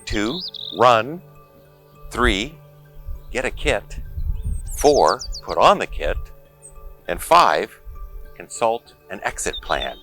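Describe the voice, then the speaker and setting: A man reading a picture book aloud to children in an expressive, dramatic storytelling manner. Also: on the low side, soft background music. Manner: expressive, dramatic pauses and exclamations.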